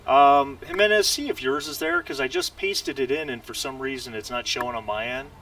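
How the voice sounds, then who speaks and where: A man's voice: mid-range voice, lively intonation, a little fast, vocal fry, sharp tone. A man acting as game master, talking casually and hesitantly as he explains the rules of a tabletop game over a voice call. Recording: distant mic with faint noise.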